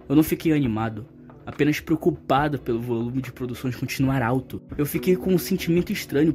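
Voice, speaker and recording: A man's voice: mid-range voice, warm tone. A man with a Brazilian Portuguese accent delivering scripted opinion commentary to viewers as a video host. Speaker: YouTube video essay host. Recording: background music under the voice.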